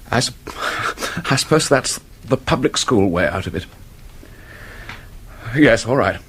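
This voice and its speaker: A husky, remorseful English murderer. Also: male voice, low voice, fairly bright tone, full character voice. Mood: resigned, stiffly brave. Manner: halting, stammering, old-fashioned public-school phrasing. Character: remorseful middle-class English office man confessing to murder. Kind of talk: radio drama acting.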